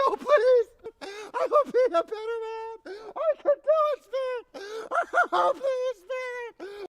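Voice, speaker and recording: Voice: sits high, close to an everyday voice. A performer playing an old miser who begs a spirit for mercy and then rejoices, in a theatrical stage style. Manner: theatrical, pleading then exclaiming. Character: old miser, repentant and overjoyed. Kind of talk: stage acting of a classic tale.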